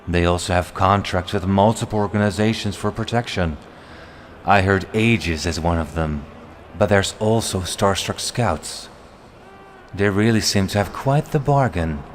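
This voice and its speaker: A man's voice: sits low, measured pace, a little hiss or hum. A man playing a refined, haughty vampire henchman in a fantasy audio drama, with a formal, wry, drawling delivery. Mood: smug, wistful.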